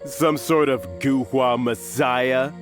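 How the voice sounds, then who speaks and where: A man's voice: mid-range voice, steady tone, slow, faint music behind, close to an everyday voice. A man voicing a bullying, sneering thug in a video game, with mocking, drawling taunts. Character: sneering, bullying male merchant-guild thug, mocking and threatening.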